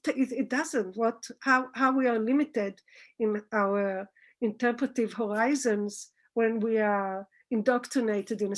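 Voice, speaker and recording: High-voiced woman scholar searching for words. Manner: halting, searching for words, rephrasing often. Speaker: scholar and author answering questions. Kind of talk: academic talk answering audience questions.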